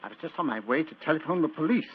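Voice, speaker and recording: An actor playing a deferential, gravely shaken household manservant in forties radio drama style. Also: male voice, on the high side, lively intonation, racing. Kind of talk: 1940s radio acting. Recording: muffled, faintly noisy 1940s radio recording.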